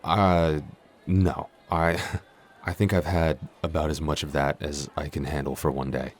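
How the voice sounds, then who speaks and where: Man playing a very deep-voiced, shy giant. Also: flat delivery, measured pace. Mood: flustered, hesitant. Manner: hesitant, stammering, apologetic. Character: shy, apologetic, clumsy young male giant. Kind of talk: intimate roleplay audio acting.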